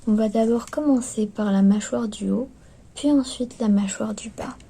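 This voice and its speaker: Hushed, very mellow ASMR woman. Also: fairly high pitch, vocal fry. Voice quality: soft, hushed, often slipping into whisper. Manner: gentle, unhurried, hesitant clinical patter. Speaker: ASMR performer playing skeleton clinic staff. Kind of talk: ASMR roleplay. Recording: lo-fi recording with background noise.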